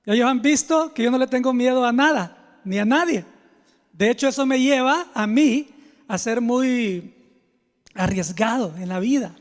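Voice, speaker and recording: A man's voice: very high-pitched, a little slow. A man with a Salvadoran Spanish accent, a pastor giving a conversational sermon built on personal stories, speaking informally and confidingly straight to his listeners. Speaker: pastor, preacher. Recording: long hall reverb.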